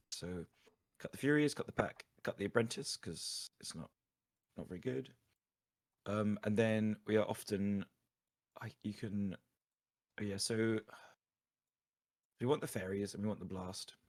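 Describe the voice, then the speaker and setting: A man talks through card game strategy in a fairly low voice that sounds slightly husky and worn. His delivery is casual and analytical, as if thinking aloud while he coaches a live stream. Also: a little slow, pauses often. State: tired voice going hoarse.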